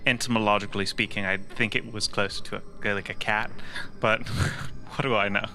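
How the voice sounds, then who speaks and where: A man's voice: fairly low pitch, fairly even intonation, normal speed, pushed and bright. A man playing a tabletop game, casually improvising narration and banter.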